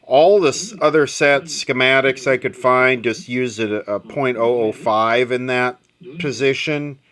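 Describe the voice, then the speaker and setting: Slow-talking man thinking aloud. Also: medium pitch, a bit bright. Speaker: radio repair hobbyist and video host. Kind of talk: hands-on repair commentary, thinking aloud.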